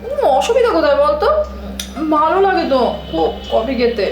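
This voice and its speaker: A woman playing an affectionate, scatterbrained younger sister in an audio drama, coaxing and expressive. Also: high-pitched, moderate pace. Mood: cheerful, playful. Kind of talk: Bengali audio drama acting. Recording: echoey room, music bed.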